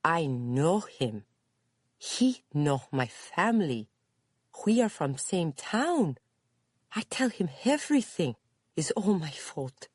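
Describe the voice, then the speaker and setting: Audiobook character: Spanish-accented woman, late forties, earnest, guilt-ridden, halting English, played by a female narrator. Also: low-pitched, very animated, slightly rough, no room sound, studio quality, voice pushed up, heavily acted. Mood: remorseful, distressed. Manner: halting, simple broken English, emphatic repetition.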